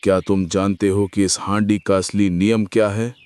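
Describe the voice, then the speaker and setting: A man playing a wise old traveller who solemnly admonishes in an animated moral story. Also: fairly low pitch, normal speed, lightly acted. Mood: stern, solemn.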